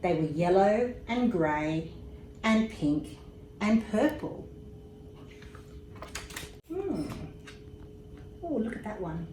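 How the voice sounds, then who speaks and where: A woman reads a bedtime story aloud to young children in an expressive, unhurried way, pausing for little asides. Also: mid-range voice. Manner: unhurried, expressive, pauses for asides about pictures. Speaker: storybook reader, emergency services commissioner. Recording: roomy echo with faint background noise.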